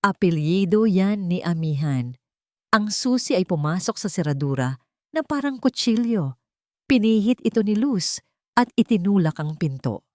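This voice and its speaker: A woman's voice: mid-range voice, sing-song, normal speed, dry recording, studio quality. A woman with a Filipino accent, narrating a dramatic scripted audiobook story.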